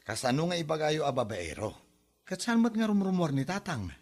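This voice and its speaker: A man's voice: on the high side, sing-song, brisk. A man playing an exasperated grown son in an Ilocano comedy drama, chiding and reasoning with his parents in an Ilocano Filipino accent.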